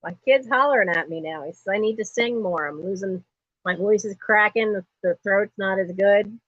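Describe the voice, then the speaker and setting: Middle-aged lady w a low speaking voice, chatty and rambly, hosting a live sale, dull lo-fi stream sound. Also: normal speed, dry recording. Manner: chatty and digressive, laughs often. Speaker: host of a live online sale. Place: home.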